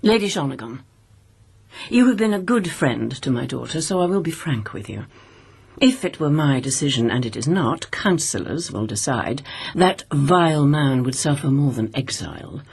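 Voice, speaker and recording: A middle-aged woman acting in a radio drama as a haughty, menacing princess, with a gravelly, husky voice and formal, cutting speech. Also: a bass voice, animated, normal speed, darkish tone, exaggerated voice. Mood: cold contempt. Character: haughty, menacing imperial princess and protective mother.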